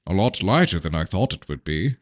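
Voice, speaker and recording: Man voicing blunt, low-voiced fantasy companion. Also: big pitch swings, fairly quick, no room sound, clean studio sound. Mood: blunt curiosity.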